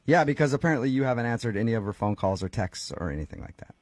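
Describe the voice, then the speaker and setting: A man's voice: mid-range voice, big pitch swings, racing, a little mellow. A man hosting a morning radio show, chatting with a caller in a casual, teasing way and often breaking into laughter. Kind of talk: casual morning radio chat on a phone call. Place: radio studio. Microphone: studio microphone.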